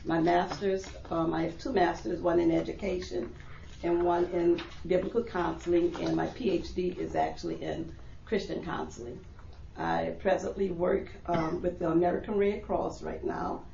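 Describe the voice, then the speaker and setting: A middle-aged woman from New Orleans introducing herself at a public meeting, earnest and measured. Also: on the low side, little pitch movement, creaky, mellow, slight background noise. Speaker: commission applicant. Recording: echoey room, dull lo-fi sound.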